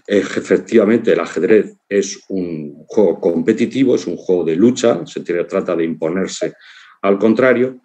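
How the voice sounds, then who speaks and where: A man in his fifties with an accent from Spain, giving an informal conference talk over a video call in a reflective, halting way, often checking in with short tags. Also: deep voice, mellow. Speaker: congress speaker, writer and philosopher. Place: living room at home, on a video call.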